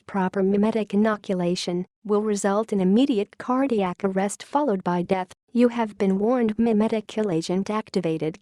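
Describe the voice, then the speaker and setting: A woman reading scripted narration of a fictional classified report in a formal, clinical, measured manner. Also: mid-range voice, dark tone, no room sound.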